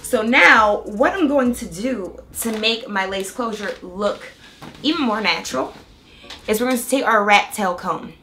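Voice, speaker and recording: A young woman with a bright, forward tone talks her viewers through a wig install, chatty and excited as she explains each step. A cold has left her voice a little raspy. Soft music plays quietly underneath. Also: mid-range voice, a little slow. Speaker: beauty vlogger. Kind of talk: casual hair tutorial and review.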